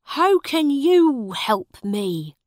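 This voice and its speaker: A woman's voice: on the low side, smooth, dry recording, studio quality. Woman voicing a grieving poor farmer. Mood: sorrowful. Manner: slow, heavy storytelling. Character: poor grieving male farmer telling his sad life.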